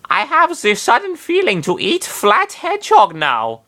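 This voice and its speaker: A man's voice: very high-pitched, huge pitch swings, measured pace, bright, forceful tone, pitched above the performer's own voice, exaggerated voice. A man voicing a cheerfully sinister young cartoon climber in a put-on German accent with a stiff, clipped delivery. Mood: eerie hunger.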